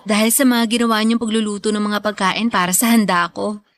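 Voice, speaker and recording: A woman's voice: medium pitch, flat delivery, brisk, natural voice. A woman playing a devoted, respectful young Filipino graduate in a radio drama, speaking sweetly and politely to a parent. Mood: polite, reassuring.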